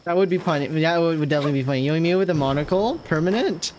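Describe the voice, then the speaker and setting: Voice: mid-range voice, very fast, slight background noise. A gaming streamer giving casual, slangy, bemused reaction commentary over gameplay. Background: loud game music.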